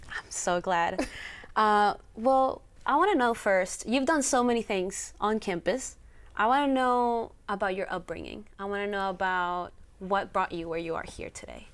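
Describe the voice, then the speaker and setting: A woman interviews a guest in a studio. She keeps the talk moving with encouraging questions and frequent murmurs of agreement. Her pitch sits in the middle. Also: very animated, normal speed, fairly bright tone, clear, resonant tone. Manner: friendly, encouraging with frequent backchannels. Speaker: interviewer, executive producer.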